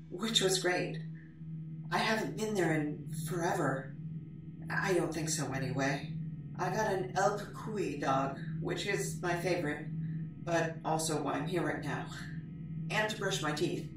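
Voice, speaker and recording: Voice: mid-range voice, close to an everyday voice. An actor playing a dry, rambling amnesiac podcaster confiding in a recorder in an echoey bathroom, as an audio drama. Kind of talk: conversational audio diary in a drama. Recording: very echoey bathroom, distant, noisy.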